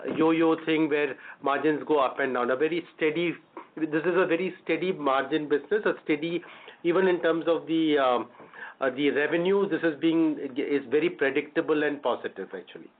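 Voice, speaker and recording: A man speaking as a company executive answering questions on an earnings call, halting and often repeating words as he builds his answers. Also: a little high. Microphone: phone or conference line. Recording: phone-line conference call audio.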